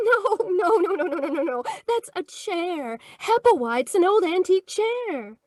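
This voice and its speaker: Flustered young female client, radio comedy: piping high voice, breathless, dry close sound. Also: sing-song, a little slow, dry recording, exaggerated voice. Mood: flustered, confused. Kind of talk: old-time radio detective comedy acting.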